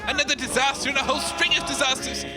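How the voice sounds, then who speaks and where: A man's voice: very high voice, fairly even intonation, racing, over loud music, a loud crowd. A man playing a grumbling, theatrical radio co-host in a comedy audio drama, pompous, petulant and sorry for himself. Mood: haughty, wistful. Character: grumbling theatrical male radio co-host, haughty and self-pitying. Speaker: radio show co-host character.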